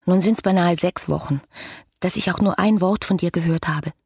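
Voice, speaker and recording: An actress playing a headstrong, lovestruck young woman in a radio play, voicing her letters with breathy, ardent intimacy. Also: on the low side, normal speed, a little mellow. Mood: yearning. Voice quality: husky, breathy.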